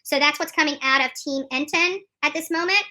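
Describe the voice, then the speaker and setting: Casting: woman live commentator, very bright forceful high voice, opinionated, repeats herself for emphasis. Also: fairly quick. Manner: emphatic, repeats phrases for stress. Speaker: streamer commenting on court news.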